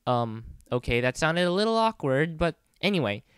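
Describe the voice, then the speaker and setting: A man's voice: fairly high pitch, big pitch swings, moderate pace, clear, resonant tone, no room sound, clean studio sound. A man playing a chatty, nosy young personal assistant in an ad-libbed cartoon parody, rambling in a familiar, cheeky way.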